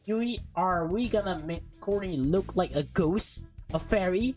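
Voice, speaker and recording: A woman voicing an excitable, pushy little girl who is a younger sister, talking eagerly and repeating herself. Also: on the low side, unhurried, faint music behind, natural voice.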